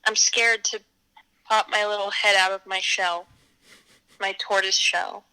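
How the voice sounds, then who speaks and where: A woman's voice: medium pitch, level tone, measured pace, sharp tone, clean tone, poor audio. A woman of about twenty chatting casually as an interview guest, giving short, tentative, playful answers. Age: around twenty. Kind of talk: casual chat.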